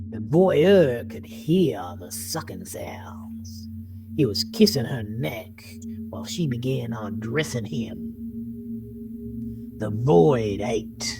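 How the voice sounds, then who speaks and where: Very low-voiced woman narrating horror, theatrical. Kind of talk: dramatic scripted horror narration. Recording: music bed under the narration.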